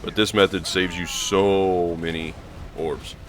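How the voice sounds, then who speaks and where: A hoarse man with a gravelly voice, rambling casually through gameplay commentary with lots of ums and restarts. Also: low voice, steady tone, slow, a bit bright, a little hiss or hum. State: hoarse from allergies. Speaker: gaming channel host.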